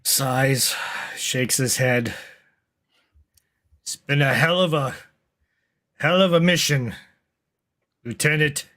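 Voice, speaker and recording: Slow, measured man roleplaying at the table. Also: medium pitch, fairly bright tone. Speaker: player in a tabletop roleplaying game. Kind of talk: in-character roleplay and third-person action narration.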